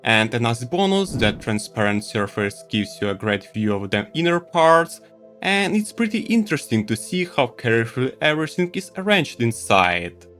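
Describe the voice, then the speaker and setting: Animated man reviewing gadgets over faint music. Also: medium pitch, normal speed. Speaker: tech reviewer. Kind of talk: scripted product review narration.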